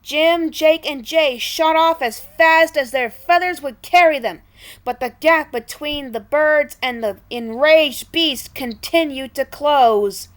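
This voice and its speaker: A woman reading a children's story aloud in a deliberate, dramatic manner. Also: high-pitched.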